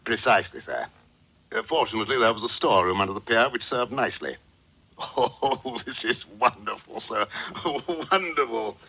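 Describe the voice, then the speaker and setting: Pompous, husky gentleman villain. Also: fairly low pitch, normal speed, exaggerated voice, rough recording. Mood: gleefully amused. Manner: pompous, formal, chuckling, says "sir" constantly. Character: wily, jovial, florid fat-cat gentleman villain posing as coin dealer. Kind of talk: 1940s radio acting. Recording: old 1940s radio recording.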